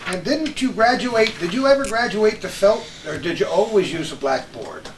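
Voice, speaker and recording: A man's voice: high voice, lively intonation, moderate pace. A man in his sixties hosting a casual, reminiscing conversation. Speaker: host. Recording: roomy, distant mics, faint noise.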